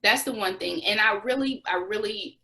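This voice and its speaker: A woman's voice: medium pitch, moderate pace, bright, forceful tone. A young adult woman answering interview questions, recounting experiences as a former volunteer with restarts and occasional laughs.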